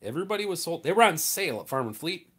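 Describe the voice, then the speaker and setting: A man's voice: a little high, very animated, racing, fairly bright tone, slightly rough. A man, a farmer chatting casually as he hosts a live stream and answers comments in a plainspoken, rambling way.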